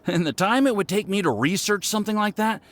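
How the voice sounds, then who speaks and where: A man's voice: high voice, lively intonation, fast talker, heavy background noise. A man voicing a nervous, fussy young alchemist apprentice in a video game, hesitant and sighing.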